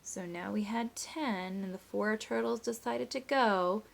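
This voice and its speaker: Female teacher, mid-pitched voice, expressive read-aloud, step-by-step explaining, questions to young listeners. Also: measured pace, gravelly, a little hiss or hum. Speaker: teacher reading a picture book aloud to pupils. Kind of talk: expressive storybook read-aloud mixed with simple math teaching.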